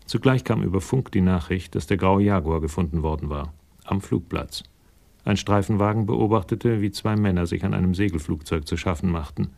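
A man with a gravelly voice reading scripted literary narration for a German radio crime drama. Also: deep voice, brisk, darkish tone.

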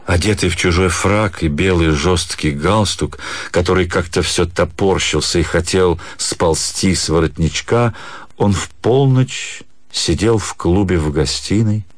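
A man narrating a literary story for radio, with expressive, measured dramatic phrasing. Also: sits low, no room sound. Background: music.

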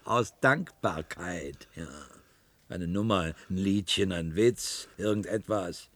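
An actor playing a coarse, needling, grudging householder in a German radio play, talking in a blustering colloquial way. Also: male voice, low voice, slow, a bit bright, heavily acted. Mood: placating.

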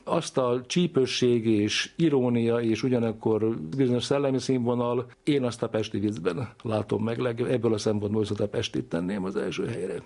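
A man's voice: mid-range voice, gravelly, few pauses, a little mellow. A man giving reflective, scholarly explanations in an interview, speaking discursively as an expert.

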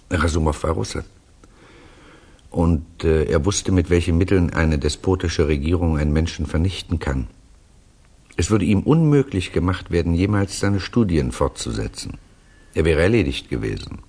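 An actor playing a reflective storyteller in a 1960s German radio play, narrating conversationally in a measured, wry, husky voice. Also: male voice, sits low, darkish tone, heavily acted. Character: reflective male storyteller recounting to a friend.

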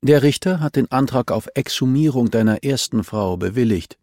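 A man voicing a cool, relentless policewoman in a crime audiobook, calm and insistent as she accuses a suspect. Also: mid-range voice, normal speed, no room sound, clean studio sound, voice pushed up, lightly acted. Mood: calm pressure.

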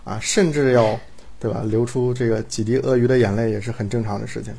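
A man's voice: medium pitch, steady tone, brisk, vocal fry, mellow, breathy edge. A man in casual chat, praising at length in an effusive, wordy way and repeating himself for emphasis.

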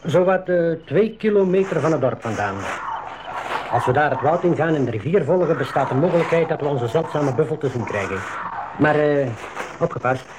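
A man acting as a calm, experienced safari guide who instructs and reassures his group in a radio drama. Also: a little high, a little fast, background noise, natural voice. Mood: calm, instructive.

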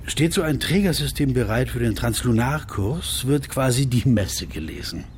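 An actor plays an enthusiastic rocket engineer in a radio drama, his pitch swinging widely as he speaks with solemn reverence. Faint ambient noise sits behind the voice. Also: expressive, moderate pace, a little mellow, natural voice. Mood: fervent, earnest. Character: pious, enthusiastic male rocket fuel engineer.

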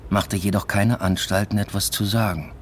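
Radio play lead: reserved male academic acted by a man, deep, understated first-person narration, faint noise behind. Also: little pitch movement, normal speed, close to an everyday voice. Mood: restrained. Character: restrained male university lecturer telling his story.

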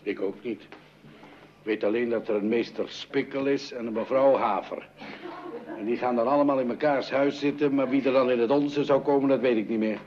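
A man's voice: medium pitch, steady tone, a little fast, natural voice. An actor playing a fussy, flustered middle-aged father in an old-fashioned radio comedy, with a blustering, repetitive way of talking. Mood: busy. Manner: blustering, exclamatory, repeating himself. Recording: dull, noisy old radio recording.

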